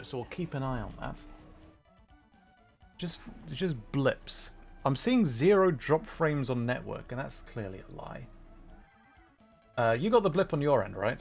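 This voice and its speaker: Guy doing a casual game stream, kinda rambling and thinking out loud, normal pitch, some music in the back. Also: big pitch swings, moderate pace, vocal fry, pauses often. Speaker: livestream host. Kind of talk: casual gaming livestream chat while playing. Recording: music playing behind the voice.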